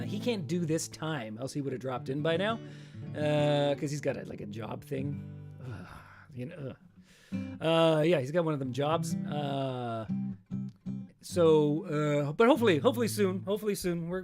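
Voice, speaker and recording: Raspy high-voiced man rambling on a stream. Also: expressive, normal speed, over music. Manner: rambling and self-deprecating, many fillers. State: voice tired from practice. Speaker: musician hosting a live stream. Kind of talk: casual live stream chat between songs.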